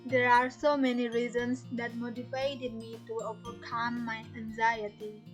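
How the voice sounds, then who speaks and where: A young woman with an Indonesian accent giving a measured, rehearsed student presentation on a lo-fi recording. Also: on the high side, little pitch movement, soft background music. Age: young adult. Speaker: student panelist. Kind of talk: prepared presentation. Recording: dull lo-fi audio.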